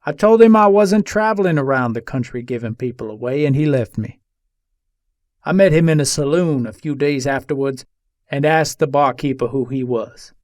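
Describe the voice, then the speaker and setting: A man's voice: medium pitch, moderate pace, darkish tone, no room sound, clean studio sound, close to an everyday voice. A man playing an embattled Old West gunslinger who pleads his case from jail in formal, old-fashioned storytelling, in a dramatic reading. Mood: measured recounting.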